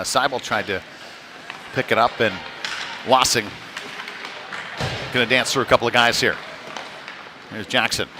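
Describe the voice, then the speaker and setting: A man giving halting, conversational live play-by-play of a youth hockey game in a folksy manner. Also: medium pitch. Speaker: play-by-play commentator. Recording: noisy live rink stream.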